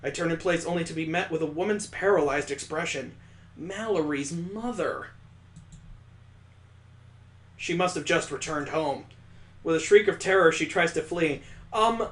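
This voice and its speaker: A man's voice: high voice, a little slow, pauses often, a bit bright, reverberant. A man reading a visual novel aloud, mixing dramatic narration with sarcastic comic asides. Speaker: let's play host.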